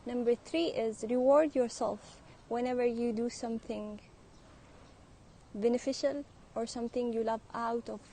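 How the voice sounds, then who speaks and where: A young woman student interviewing people and then sharing her own thoughts in a vlog, speaking thoughtfully with pauses. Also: a little high, steady tone, dark tone, a little hiss or hum, low-quality recording. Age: young adult. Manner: slow, thoughtful, hesitant delivery. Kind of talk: street interviews and personal vlog talk.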